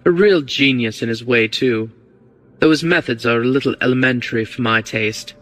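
Man playing a breezy, assured gentleman rogue. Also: medium pitch, normal speed, faint music behind, close to an everyday voice. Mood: breezy, amused. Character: cool, bold, quick-witted English gentleman rogue. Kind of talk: audiobook character reading.

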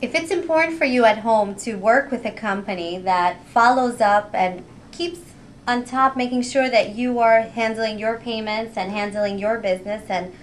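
A woman's voice: a little high, a little hiss or hum. A woman in her thirties hosting a promotional community TV interview, speaking enthusiastically into a handheld mic in an office. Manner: enthusiastic, spells out web address slowly. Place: office room with red wall.

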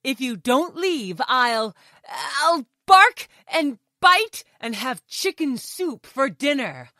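Bossy territorial girl dog for a kids story, blustery, high and swoopy. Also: very animated, slow pace, sharp tone, no room sound, clean studio sound, exaggerated voice. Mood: defiant, threatening. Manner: blustering, stammering threats with barks. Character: stubborn, territorial female dog, barking threats. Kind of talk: children's storybook character voice.

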